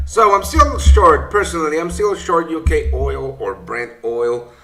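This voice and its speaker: Bright-voiced man. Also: fairly high pitch, lively intonation, slow pace. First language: Spanish. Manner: rambling, many fillers and self-corrections. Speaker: trading session host. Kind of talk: casual live-stream market commentary.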